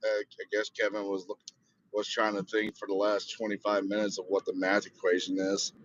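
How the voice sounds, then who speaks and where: A man chatting casually as livestream co-host, laid-back with drawn-out fillers. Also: on the low side, completely flat delivery, fairly bright tone, a little hiss or hum. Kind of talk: casual chat. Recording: dull lo-fi mic.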